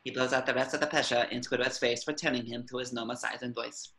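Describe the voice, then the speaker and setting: A man with a bright, forceful voice at a middle pitch narrates a cartoon script. He reads the stage directions aloud as part of a table reading. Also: fairly even intonation, quick pace.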